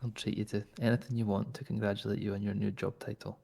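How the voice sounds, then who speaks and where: A man's voice: on the low side, level tone, quick pace, creaky, darkish tone. A man with a Scottish accent talking in character during a roleplay, flirtatious and teasing. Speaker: roleplay player.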